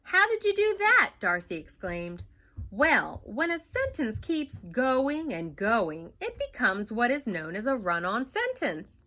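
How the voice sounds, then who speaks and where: A bright, expressive woman reads a storybook aloud at an unhurried pace, her pitch swinging widely as she brings the tale to life. She talks like a teacher with her young class, pausing to ask simple questions. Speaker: first grade teacher. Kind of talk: reading a picture book aloud to young children.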